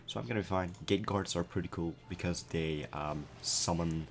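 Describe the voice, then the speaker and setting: Young man, very low strained voice, cracking, laid-back game explainer, faint hiss behind. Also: moderate pace, gravelly. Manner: relaxed, explanatory, many fillers. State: voice cracking after a concert. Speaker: gameplay commentator. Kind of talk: casual gameplay commentary.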